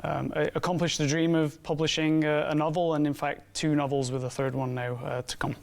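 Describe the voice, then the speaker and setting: English guy, gravelly, kinda high for a man, self-deprecating, lots of uhs, tv studio chat. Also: little pitch movement, brisk. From England. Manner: self-deprecating, hesitant with many fillers. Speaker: guest author. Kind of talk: casual TV studio interview.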